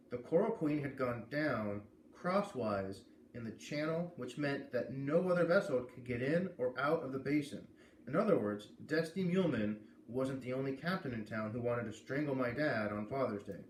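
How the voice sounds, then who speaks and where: A man hosting a read-aloud, narrating a storybook in a casual, conversational style. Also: mid-range voice. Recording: echoey room, distant mic, faint noise.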